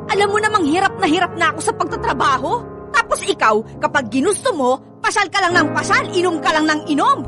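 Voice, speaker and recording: High-pitched weary Filipino wife. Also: female voice, animated, quick pace. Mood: pleading, upset. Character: weary, reproachful working wife and mother. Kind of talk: Filipino radio drama acting. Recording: dry studio with music underneath.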